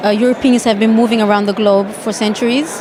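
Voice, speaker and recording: A woman's voice: on the high side, fairly even intonation, racing, crowd noise. A woman from Ethiopia, an Amharic native, answering interview questions as a magazine editor, thoughtful, with frequent restarts and fillers.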